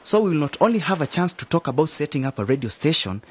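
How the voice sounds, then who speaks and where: Lively, swooping eager young guy. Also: a little high, fast talker, slightly rough. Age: young adult. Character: lively youngster, keen would-be community broadcaster. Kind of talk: radio drama acting.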